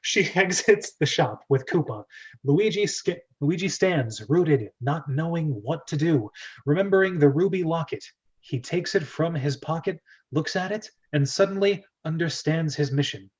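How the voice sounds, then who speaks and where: Man, higher voice, script narrator, casual amateur table read, chatty asides, dull lo-fi sound. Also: lively intonation, normal speed, darkish tone, husky. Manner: often laughs and breaks off into asides. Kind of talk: casual amateur screenplay table read, reading stage directions.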